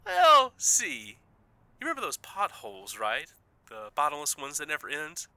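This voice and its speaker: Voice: mid-range voice, wildly swooping pitch, moderate pace, bright, forceful tone, exaggerated voice. An actor playing a smug, playful, unreal best friend in an audio drama, teasing and condescending in a sing-song way. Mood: gleeful, taunting. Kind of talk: audio fiction podcast acting.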